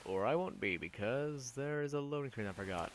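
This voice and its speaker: A man's voice: mid-range voice, expressive, brisk, background noise. A man giving casual, rambling gameplay commentary as a video host, with a self-deprecating manner.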